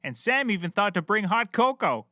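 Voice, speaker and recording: A man's voice: high voice, sing-song, normal speed, heavy background noise. A man playing a cheerfully clueless, rambling private eye who cracks corny jokes in a scripted comedy. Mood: chipper, jokey. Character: bumbling, chirpy, oblivious male puppet-world private eye.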